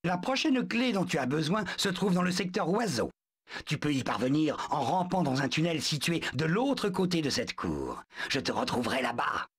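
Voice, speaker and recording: A man voicing a bossy, military-minded male zoo animal, brisk and self-important, in a video game dub. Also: on the high side, big pitch swings, husky. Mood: commanding.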